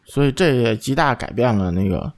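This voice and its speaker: 语速快、起伏明显的男声. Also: male voice, mid-range voice, lively intonation, a little fast. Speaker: audio podcast co-host. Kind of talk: casual opinionated chat.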